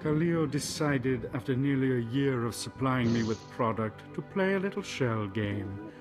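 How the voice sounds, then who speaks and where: A man playing a smooth, menacing crime boss in a video game, polite and measured with veiled threat. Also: medium pitch, animated, music in the background, lightly acted. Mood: suave.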